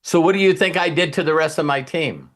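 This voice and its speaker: A man with a somewhat high-pitched voice talks casually as a motivational host. He rambles along in a folksy way, leaning on anecdotes and plain language. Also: fairly quick. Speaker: host of a motivational team talk.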